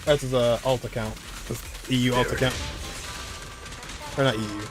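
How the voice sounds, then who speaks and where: A man gives casual gameplay commentary with clear game music behind him. His pitch is average, and he talks offhandedly, half muttering to himself. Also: little pitch movement, normal speed. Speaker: game streamer.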